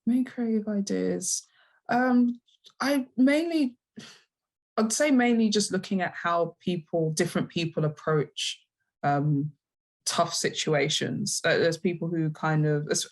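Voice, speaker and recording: A woman from the UK, a writer, giving casual interview answers and thinking aloud hesitantly as she goes. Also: deep voice.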